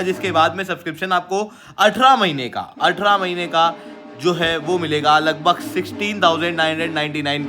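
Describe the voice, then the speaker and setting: A man's voice: sits high, bright, forceful tone. An Indian man presenting as an online teacher, explaining current affairs emphatically and mixing in English phrases.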